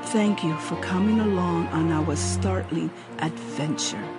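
A woman's voice: on the low side, unhurried. A woman reading scripted promotional narration for an adventure series. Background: loud music.

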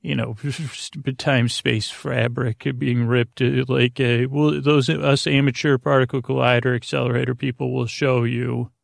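A man with a rusty, gravelly voice hosting a bedtime podcast, meandering absent-mindedly through halting tangents and often interrupting himself. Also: medium pitch, little pitch movement, dry recording, clean studio sound. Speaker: podcast host. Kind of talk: rambling bedtime monologue.

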